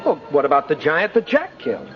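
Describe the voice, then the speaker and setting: An actor playing a friendly, courteous bachelor neighbor in a 1950s radio drama, speaking politely with genial hesitation. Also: male voice, fairly high pitch, very animated, a little fast, faint music behind. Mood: playful, friendly. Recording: muffled old 1950s radio recording.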